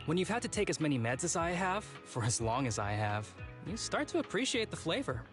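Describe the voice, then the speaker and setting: An actor playing an easygoing young game agent with a long illness, talking in a casual, wry, measured way. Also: male voice, on the high side, big pitch swings. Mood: relaxed, wry. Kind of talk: video game voice acting. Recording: game music behind.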